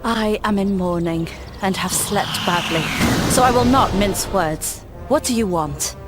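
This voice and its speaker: A woman's voice: medium pitch, a little slow, loud music behind the voice, natural voice. An actress playing a grieving young queen in a video game, curt, stern and imperious. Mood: curt, weary. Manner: stern, blunt. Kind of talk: video game cutscene acting.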